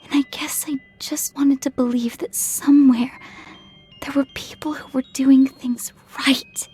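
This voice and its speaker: A timid, earnest young woman in a horror visual novel game. Her voice is high and soft, hesitant and a little breathy, with music playing underneath. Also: fairly even intonation, measured pace. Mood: frightened, wistful.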